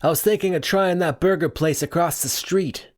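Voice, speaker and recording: Man voicing a gruff kindly older boss, pitched up. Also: normal speed, close to an everyday voice. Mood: gruff teasing.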